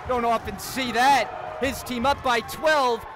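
A man calling a college basketball game play-by-play, getting louder and excited on big plays. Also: very high voice, slow, music in the background. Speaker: play-by-play announcer. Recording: crowd and court noise behind the commentary.

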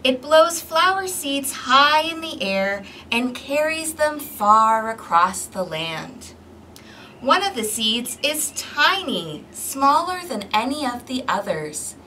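Woman, children's storytime host, bright animated delivery, expressive and engaging with young listeners, miked from a distance outdoors. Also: high voice, takes it slowly. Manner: expressive, clearly paced, questioning as if to young children. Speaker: storytime host for a community service. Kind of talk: interactive children's picture book read-aloud. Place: outdoor balcony. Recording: distant mic with outdoor background noise.

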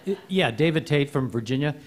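A man in the audience asking a question, halting and restarting as he searches for words. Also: on the high side, lively intonation, some chatter behind, slight background noise.

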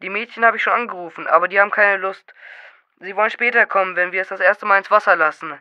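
A performer playing a confident, boastful, excitable boy in a children's radio play. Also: mid-range voice, quick pace, no echo, highs cut off, close to an everyday voice. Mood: excited. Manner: cocky, dismissive, eager.

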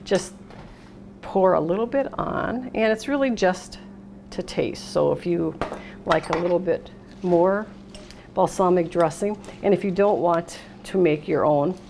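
A woman's voice: on the low side, a little slow, vocal fry. A middle-aged American woman hosting a casual cooking demonstration, talking unhurriedly through each step as she works. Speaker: cooking show host. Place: indoor kitchen, steel worktop. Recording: clattering dishes, noisy kitchen room sound.

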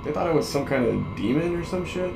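Creaky-voiced young drifter played by a man. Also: fairly high pitch, lively intonation, fairly quick, music in the background. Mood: curious, casual. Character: young drifter on the run, curious, nervy apprentice. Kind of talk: sci-fi audio drama acting.

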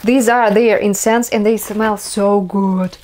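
A woman's voice: medium pitch, fairly even intonation, takes it slowly, darkish tone, slight background noise. A young woman from Russia with a Russian accent, chatting casually while unboxing a haul in her bedroom, marvelling at each item. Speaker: vlogger. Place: small bedroom, indoors.

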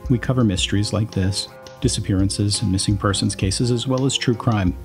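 A man giving scripted documentary narration, reading long quotes aloud in a measured, explanatory way. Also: fairly low pitch, a little mellow, faint music behind.

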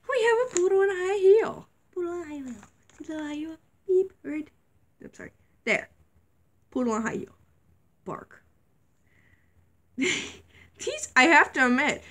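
A young woman vlogger chatting casually while unboxing toys, a little sick, her voice wearing thin, squealing excitedly at surprises. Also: high voice. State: slightly sick, voice going. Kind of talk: casual toy unboxing chat. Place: dim bedroom, indoors.

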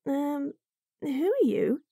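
A woman's voice: sits high, very animated, slow pace, creaky, mellow, no room sound, clean studio sound, exaggerated voice. A woman voicing a bratty, flustered young bunny girl who stammers and giggles nervously in a roleplay audio.

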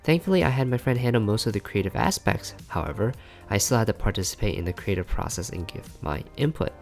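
Young male narrator for a dev log: low, gravelly voice, informal explainer delivery, faint music underneath. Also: animated, fast. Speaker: software engineer and video creator narrating a dev log. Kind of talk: scripted narration.

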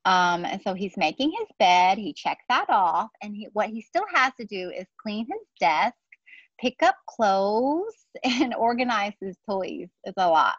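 A woman in her forties reading a picture book aloud to children, deliberate and explanatory, with casual asides. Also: mid-range voice, very animated, no room sound, dull audio. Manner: deliberate, explanatory, speaking to young listeners. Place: outdoor patio beside trees.

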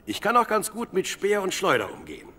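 An actor playing a modest, polite young harpist in a German radio play. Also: male voice, high voice, huge pitch swings, fairly quick, sharp tone, husky, heavily acted. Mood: keen, modest.

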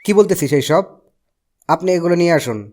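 A man's voice: a little high, a little fast, darkish tone, faint music behind, close to an everyday voice. A man voicing a brusque, easily exasperated police officer uncle in a cartoon. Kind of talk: animated cartoon voice acting.

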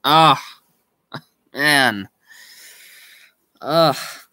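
A man in his thirties talks to his live stream viewers through a desk webcam in a small room at home. His voice is fading as he loses it, and he speaks very slowly with many halting restarts. The sound is close and dry. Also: mid-range voice, sharp tone, dry recording. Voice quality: slow, halting, with frequent restarts and laughs.

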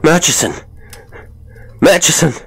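A man voicing a tormented, haunted gentleman in an audiobook, with a strained, feverish, exclamatory delivery. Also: unhurried, gravelly, breathy edge, exaggerated voice. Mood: frantic excitement. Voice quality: high, keen, strained when excited. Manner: feverish, exclamatory, repeating himself. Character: frantic, tormented gentleman, haunted and near breakdown.

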